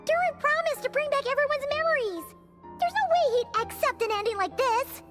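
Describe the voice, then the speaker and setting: A woman voicing a small, excitable, squeaky childlike companion in a video game, exclaiming with indignation. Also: fairly quick, over music. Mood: alarmed. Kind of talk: video game character acting.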